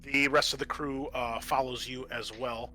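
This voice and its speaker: A man's voice: mid-range voice, level tone, normal speed, sharp tone, faint music behind. A man running a tabletop game as game master, narrating in a casual, conversational way.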